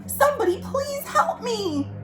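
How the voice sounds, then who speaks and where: A woman puts on an excitable storybook crocodile, a young male with a big dramatic streak. The voice sits high and swings widely in pitch. The words are stretched out and exaggerated for small children. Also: roomy sound, exaggerated voice. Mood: panicked, whimpering. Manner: exaggerated, dramatic, drawn-out delivery. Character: excitable, melodramatic young male cartoon crocodile who adores watermelon. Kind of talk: children's picture book read-aloud.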